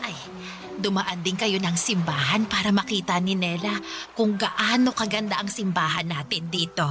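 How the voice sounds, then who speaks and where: A woman's voice: mid-range voice, moderate pace, natural voice. An actress with a Filipino accent playing a welcoming, motherly middle-aged provincial aunt in a radio drama. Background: background music.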